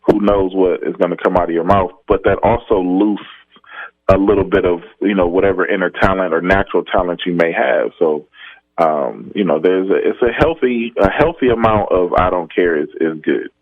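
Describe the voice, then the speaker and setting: A man's voice: sits low, normal speed, no room sound, phone-quality sound. A man, a stand-up comedian, chatting casually on a podcast about his start, rambling with frequent laughs. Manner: laid-back, rambling, laughs often.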